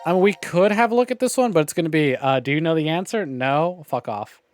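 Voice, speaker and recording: A man's voice: fairly high pitch, fairly quick, darkish tone, dry recording. A man giving casual, opinionated livestream commentary on a visual novel game, with wry, profane grumbling. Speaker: game streamer.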